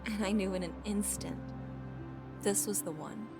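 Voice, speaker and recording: A woman's voice: mid-range voice, normal speed, over music, close to an everyday voice. An actress playing an idealistic young woman in an audio drama, earnest and tender with a teasing streak. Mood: hopeful, earnest. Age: young adult. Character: idealistic, playful young woman, hopeful and affectionate.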